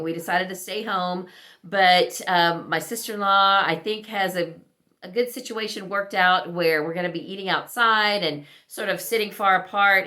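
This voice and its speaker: A woman's voice: mid-range voice, pushed and bright. A middle-aged woman chatting casually to viewers as a book vlogger, in a rambling, conversational way. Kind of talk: casual chat to viewers about books.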